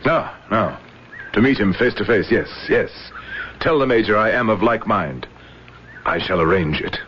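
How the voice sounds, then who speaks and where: An actor in an old radio drama playing a proud, embittered, ailing army general, grave and formal. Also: male voice, on the low side, expressive, normal speed, natural voice. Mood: resolved. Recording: muffled, noisy old radio recording.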